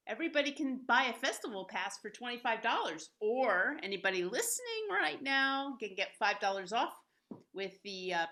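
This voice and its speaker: Bright-voiced woman hosting an online event. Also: mid-range voice. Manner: enthusiastic, promotional. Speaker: host and founder of a theater. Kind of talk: hosting a live video-call event. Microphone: video call.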